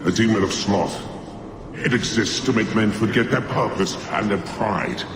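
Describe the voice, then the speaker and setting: An actor playing a solemn, commanding spirit of justice in a fantasy game, speaking formally. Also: male voice, on the low side, normal speed, natural voice. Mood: grave, stern. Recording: echoing voice over noisy ambience.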